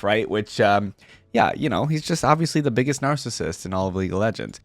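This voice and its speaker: A man's voice: mid-range voice, no echo, clean studio sound. A young man giving informal, rambling reaction commentary as a video host in a conversational way.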